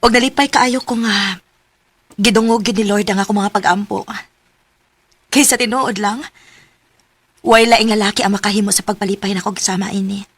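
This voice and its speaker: A woman's voice: medium pitch, fairly even intonation, moderate pace, long pauses, no room sound. A woman playing a tender young woman in love. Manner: warm, sincere, lightly laughing.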